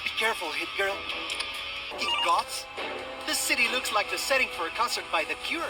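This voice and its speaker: An actor playing an excitable young amateur superhero in a video game, sarcastic and exclamatory. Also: male voice, high-pitched, brisk. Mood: eager, concerned. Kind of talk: video game cutscene acting and narration. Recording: loud music behind the voice. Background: loud game music.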